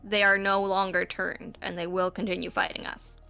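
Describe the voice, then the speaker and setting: A woman's voice: medium pitch, level tone, moderate pace, gravelly, pushed and bright, clean tone. A woman explaining her moves and the rules as a player in a tabletop roleplaying game. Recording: music under the voice.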